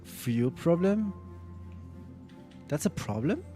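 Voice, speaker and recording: Young male vtuber, casual game chat, dark mellow voice, rambling reactions. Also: fairly high pitch, very animated, faint music behind. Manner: chatty, rambling, with restarts and quick reactions. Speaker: vtuber streamer. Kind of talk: casual gaming chat and reading dialogue aloud.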